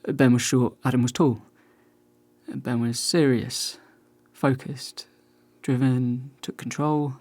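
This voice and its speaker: A man's voice: mid-range voice, steady tone, slow pace, a little mellow, no echo. Radio play: male actor as self-deprecating man turning forty, awkward and talkative, naturalistic delivery, very dry sound. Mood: wistful. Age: about 40. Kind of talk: naturalistic radio drama acting.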